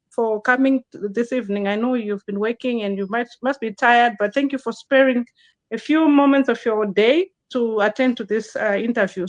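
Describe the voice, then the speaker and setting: Woman host, higher voice, dry close sound, casual restart-filled live interview talk. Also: no room sound. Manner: chatty, frequent restarts and fillers. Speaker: host, digital business consultant.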